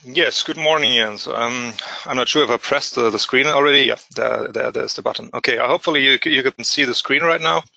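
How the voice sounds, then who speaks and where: A man's voice: mid-range voice, a little fast, vocal fry, bright, forceful tone, no echo, rough recording. A man presenting a live trading setup, hesitant and full of fillers and restarts as he works through charts. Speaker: day trader presenter. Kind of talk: live market commentary.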